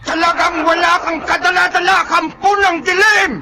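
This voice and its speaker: A stern spirit healer in a radio horror drama. The voice is very high and intense, declaiming against evil over clamorous sound. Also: male voice, level tone, normal speed, over a noisy crowd. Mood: defiant.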